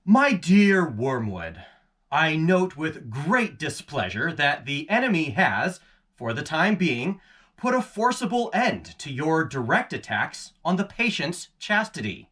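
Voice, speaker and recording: A man's voice: fairly high pitch, sing-song, fairly bright tone, husky, full character voice. Guy doing a snooty scheming old-boss demon uncle, dramatic book reading, voice goes up and down a lot, bit far from the mic. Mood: displeased, lecturing. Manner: theatrical, pompous, deliberate. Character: pompous senior demon uncle, scheming and condescending. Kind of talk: dramatic reading of literary letter.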